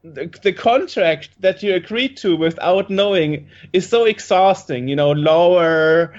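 A man with a high voice asks questions as an interviewer. He sounds curious and casual, and his audio is muffled and lo-fi. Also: a little slow. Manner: inquisitive, questioning. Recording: dull lo-fi audio.

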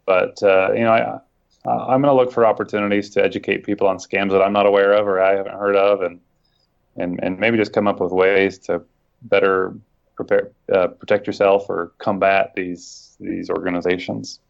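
A man with a fairly low voice speaks earnestly and reflectively as an interview guest. His audio is dull and lo-fi. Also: level tone, normal speed, gravelly. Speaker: guest, online scam-baiter. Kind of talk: casual interview conversation.

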